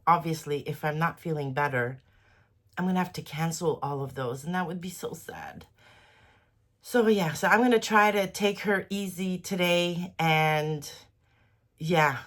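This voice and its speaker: A low-pitched woman talking through a head cold, her voice stuffy and congested. She chats casually and softly in a rambling, everyday vlog manner. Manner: chatty, rambling, soft-spoken. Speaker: quilting vlogger. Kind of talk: casual daily vlog chat.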